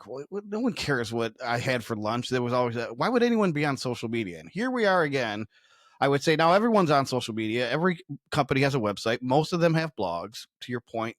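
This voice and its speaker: A man's voice: medium pitch, no echo, clean studio sound. A middle-aged man co-hosting a podcast, talking casually and making points with emphatic rhetorical questions. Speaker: podcast co-host, content marketing expert.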